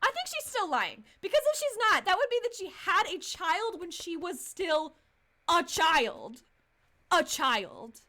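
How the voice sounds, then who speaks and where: A woman in her twenties reacting casually on a game livestream, excitable, repeating herself and swearing freely. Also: extremely high pitch, unhurried. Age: 20s. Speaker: game streamer.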